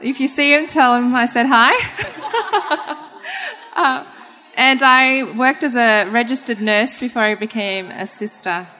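A young Australian woman, a religious sister, speaks in a bright, higher voice in a hall with long reverb and faint music behind. She is friendly and laughs between sentences. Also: slow. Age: young adult. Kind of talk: casual guest talk on stage.

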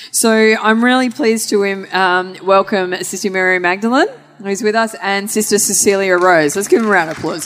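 Female stage host, chatty and joking, middling pitch, echoing convention hall. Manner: chatty, lightly joking, many ums. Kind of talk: hosting a live stage interview. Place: large convention centre hall. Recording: reverberant hall.

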